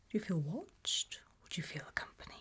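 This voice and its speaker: A British woman playing a calm, probing investigator in an ASMR roleplay, asking gentle, measured questions in a breathy whisper. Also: fairly low pitch, full character voice. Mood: intimate, searching. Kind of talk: ASMR roleplay, whispered and soft-spoken interview.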